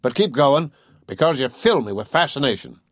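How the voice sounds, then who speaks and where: A man's voice: mid-range voice, big pitch swings, moderate pace, husky, dry recording. A man voicing a blunt, plain-spoken harpooner with dry, folksy humor. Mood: wry. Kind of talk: audiobook character voice.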